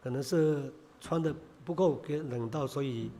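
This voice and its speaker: A man preaching a sermon in Chinese, hoarse from a cold, halting with many filler sounds. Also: medium pitch, dark tone, slight background noise.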